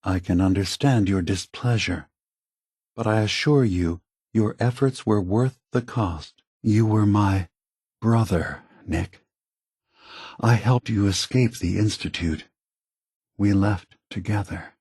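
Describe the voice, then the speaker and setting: An actor playing an aging prototype android leader in a video game, a gentle, philosophical idealist with a soft, husky voice and measured, earnest phrasing. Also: male voice, lowish voice, no echo, clean studio sound, full character voice. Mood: calm, courteous, then patient, pleading. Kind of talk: acted video game dialogue.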